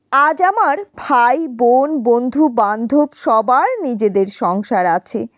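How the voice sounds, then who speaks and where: A woman's voice: sits high, no echo. A woman narrating a scripted first-person story in a dramatic, reflective manner.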